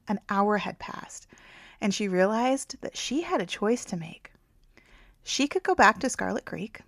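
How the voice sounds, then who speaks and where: Woman audiobook reader for romance fiction. Creaky, mid-pitched, hushed at phrase ends, very dry sound. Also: no room sound. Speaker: author reading own audiobook. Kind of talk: scripted narration, romance audiobook.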